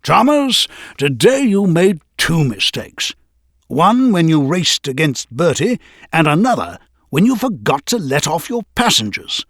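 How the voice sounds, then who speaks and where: A man playing a kindly, authoritative older railway boss, measured and instructive, in a children's storybook. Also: on the high side, sing-song, fairly bright tone, dry recording, clean studio sound, voice pushed up, heavily acted. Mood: kindly lecturing.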